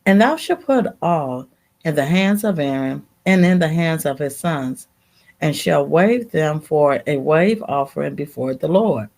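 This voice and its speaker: A woman reading scripture aloud in a deliberate, halting way, sometimes repeating a word as she goes. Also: low voice, expressive, creaky, a little mellow. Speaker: Bible reader.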